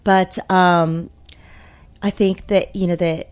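A woman born in Taiwan and raised in America, talking casually as an interview guest, thoughtful with many pauses and restarts. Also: on the low side, level tone, a little mellow. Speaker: interview guest, food media founder.

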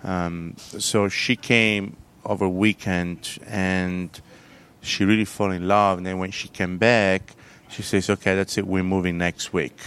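A man's voice: deep voice, little pitch movement, fairly bright tone, smooth. A man from southern Italy with an Italian accent, a chef telling his story in a casual interview, with many fillers and restarts. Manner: halting, many fillers and restarts, loose grammar.

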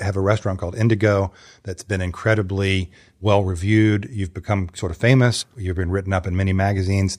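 A man's voice: low-pitched, darkish tone. A man hosting an interview, asking questions in a conversational style. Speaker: interviewer, show host.

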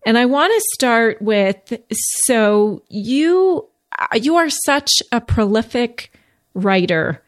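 A woman hosting a podcast interview, friendly and quick to laugh. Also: on the high side, lively intonation, no echo, clean studio sound. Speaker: podcast host. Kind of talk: conversational interview.

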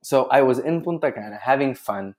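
A man's voice: mid-range voice, expressive, brisk, a little mellow. A man from Chile, a guest on a podcast, telling a story in casual chat and laughing often. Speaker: guest, drinks brand founder.

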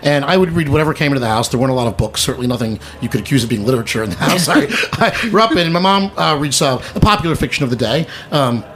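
A man's voice: medium pitch, animated, racing, few pauses, a bit bright. A middle-aged American man hosting a casual studio chat show, telling stories in a brash, irreverent, mock-indignant way. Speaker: radio show host. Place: radio studio.

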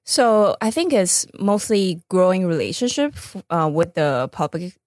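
A woman's voice: fairly low pitch, animated, a little slow, darkish tone, dry recording, clean studio sound. A woman from Taiwan with a Taiwanese accent, a native Mandarin speaker, casually explaining her work as an interview guest. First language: Mandarin Chinese. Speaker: startup co-founder, podcast guest.

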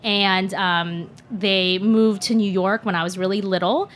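A woman's voice: medium pitch, steady tone, unhurried, soft background music. A young adult woman chef, a guest in a casual interview, talking earnestly and reflectively, with plenty of "like" and "um". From United States (born in Shanghai). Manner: earnest, reflective, frequent fillers like and um.

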